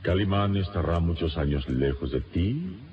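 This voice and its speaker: A man's voice: low-pitched, expressive, normal speed, slight background noise. An actor playing a noble, principled male adventurer in a radionovela, speaking in a solemn, formal, declamatory way. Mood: solemn, resolute. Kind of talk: radionovela acting. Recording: dull echoey radio drama with music behind.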